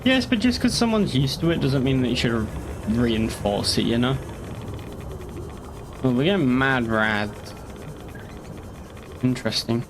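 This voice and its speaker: A man's voice: mid-range voice, lively intonation, unhurried, long pauses, background noise. A young man chatting casually while streaming a game, rambling and joking with the odd curse. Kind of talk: casual gaming commentary.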